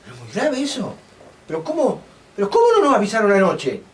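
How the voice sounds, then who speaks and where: A man's voice: sits high, wildly swooping pitch, brisk. A man with an Argentine accent acting an anxious, protective character in a radio drama. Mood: alarmed. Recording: distant mic, faint room noise.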